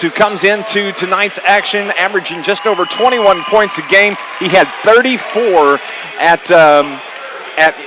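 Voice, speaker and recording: A man doing live radio play-by-play of a basketball game, in clipped running phrases. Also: sits high. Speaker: radio play-by-play sports announcer. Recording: noisy live gym broadcast. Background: gymnasium ambience, bouncing basketballs.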